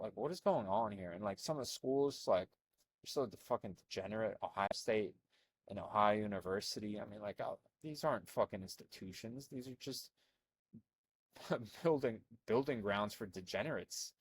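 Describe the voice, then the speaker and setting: Husky, rambling young man from Albania. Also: lowish voice, normal speed, no echo. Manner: rambling, animated, many fillers and restarts. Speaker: health coach. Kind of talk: casual commentary reading from a screen.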